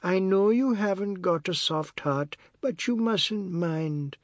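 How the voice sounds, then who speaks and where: Audiobook role: old matriarch, high-pitched, dragging her words plaintively, meek yet pleased with herself. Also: no room sound, studio quality. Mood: plaintive, resigned. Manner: drawn-out, plaintive delivery. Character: slow, groaning, sighing old matriarch, meek and self-satisfied.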